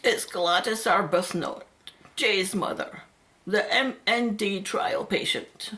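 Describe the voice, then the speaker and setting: Woman acting a practical, unhurried mother. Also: medium pitch, bright, forceful tone, slight background noise. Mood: polite. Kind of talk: radio play acting.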